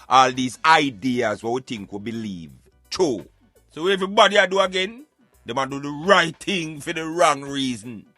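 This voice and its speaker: A man's voice: mid-range voice, pushed and bright, dry recording. A Jamaican man giving emphatic, opinionated social commentary in Patois, like a street philosopher preaching on a radio show.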